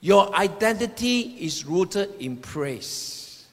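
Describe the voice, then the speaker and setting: A man's voice: high voice, animated, slow pace, creaky. A man preaching a sermon, declaring his points emphatically and repeating phrases, recorded in an echoing hall. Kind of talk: sermon with personal prophetic words to the congregation. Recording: echoing hall with long reverb.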